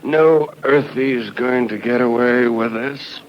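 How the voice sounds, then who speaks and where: An actor playing a curt, contemptuous, bullying patrol sergeant in 1950s radio drama style. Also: male voice, mid-range voice, slow, close to an everyday voice. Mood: angry, contemptuous. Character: gruff, contemptuous male imperial patrol sergeant. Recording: muffled, noisy old radio recording.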